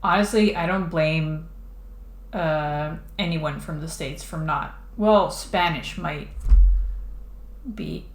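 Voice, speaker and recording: Low-voiced woman chatting while drawing. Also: takes it slowly. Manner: rambling, lots of "like" and restarts. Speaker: streamer drawing a comic. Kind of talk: casual chat while drawing. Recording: echoey room, faint background noise.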